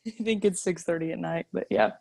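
A woman's voice: on the low side, fairly even intonation, moderate pace, vocal fry, warm tone, dry recording. A woman in her twenties co-hosting a podcast in casual chat, blunt and teasing, laughing often. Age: 20s. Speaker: podcast co-host.

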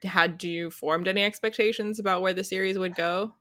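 A woman's voice: medium pitch, steady tone, fairly quick, sharp tone, no echo, clean studio sound. A woman co-hosting a podcast in a casual book discussion, talking in a wry, analytical, conversational way. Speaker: podcast co-host.

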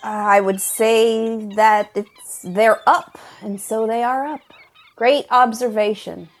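A woman's voice: on the high side, fairly even intonation, soft background music. A woman playing a jaded young student of magic in an improvised comedy sketch, sounding cynical, dry and tired. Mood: jaded, questioning. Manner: weary, dry, deliberate.

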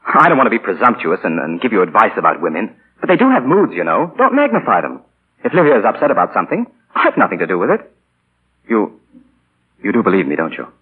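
A man's voice: fairly low pitch, wildly swooping pitch, fast, breathy edge, exaggerated voice. An actor playing a smug, insinuating young man with mocking, falsely courteous manners in 1940s radio drama. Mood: feigned innocence. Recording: muffled, scratchy 1940 radio broadcast.

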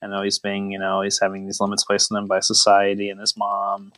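Wry man with a lowish, dry-recorded voice. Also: monotone, a little fast, a bit bright, no echo. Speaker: podcast host. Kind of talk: casual joking film chat.

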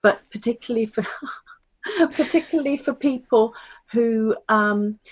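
A woman who works as a speaking trainer, talking in an articulate, measured way as a podcast guest. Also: fairly high pitch. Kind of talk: conversational interview.

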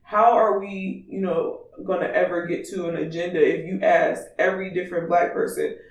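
A man with a raspy voice chatting candidly and emphatically as a podcast co-host. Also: high voice, fairly even intonation, normal speed, muffled recording. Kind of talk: casual opinionated podcast chat. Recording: distant mic in echoey room.

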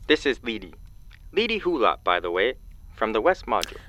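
A man's voice: on the low side, big pitch swings, a little fast, a bit bright. A flamboyant, swooping male amateur poet. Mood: excited, sheepish. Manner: theatrical, dramatic, slangy. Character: flamboyant free-thinking amateur poet caller, aggrieved male.

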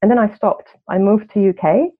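A woman's voice: medium pitch, fairly quick. A woman from Turkey, a coach speaking as a podcast guest in a reflective way, with many "you know" fillers. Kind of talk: podcast interview. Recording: tinny phone-line audio.

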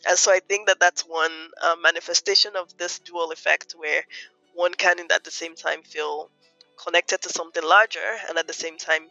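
A woman from Nigeria giving thoughtful interview answers as a guest, measured and reflective with fillers. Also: fairly low pitch, fairly even intonation, gravelly, sharp tone, dry recording, dull audio, soft background music. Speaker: guest economist.

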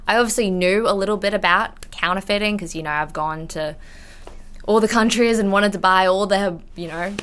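A woman's voice: medium pitch, moderate pace, fairly bright tone, smooth. A woman in her twenties with an Australian accent, talking candidly and informally in a conversational interview. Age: 20s. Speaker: intellectual property investigator, podcast guest.

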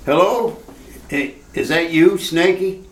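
A man playing a germ-phobic male gangster in a retro radio drama, talking gruffly in tough-guy slang. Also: on the high side, slow. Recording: roomy echo.

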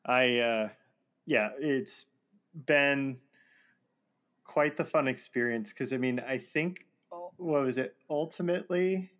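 A man, a voice actor guest in a casual conversation, self-deprecating and laughing often, with halting restarts. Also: medium pitch, a bit bright.

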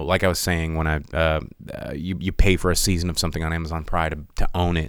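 A man with a low, smooth voice rambles through casual podcast commentary. He is animated and sarcastic, and recorded on a close mic in a dry, dead room. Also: no echo. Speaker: podcast host.

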